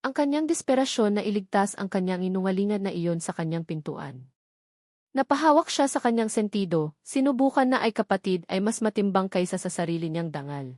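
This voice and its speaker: A woman's voice: mid-range voice, animated, brisk, darkish tone, no room sound, clean studio sound. A woman reading a scripted romantic drama audiobook as its narrator.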